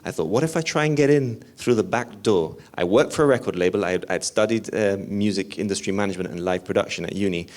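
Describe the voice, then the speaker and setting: Low-voiced man telling casual anecdotes. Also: fast talker, hardly any pauses, darkish tone. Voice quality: slightly whiny. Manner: self-deprecating. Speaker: interview guest, musician. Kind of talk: casual anecdotal interview talk.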